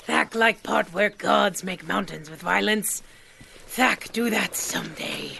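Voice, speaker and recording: Gravelly, bloodthirsty brute warrior. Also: male voice, high voice, slow pace, sharp tone, a little hiss or hum, full character voice. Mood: gleefully violent. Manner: broken third-person grammar, blunt. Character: brutish, simple-minded male warrior who loves killing. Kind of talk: fantasy audio drama acting.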